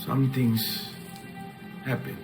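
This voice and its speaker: A man's voice: mid-range voice, level tone, slow pace. A man playing a young Florentine nobleman on the run in a video game, protective and earnest, with an Italian accent. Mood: somber, protective. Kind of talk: dramatic video game dialogue. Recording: music playing behind the voice.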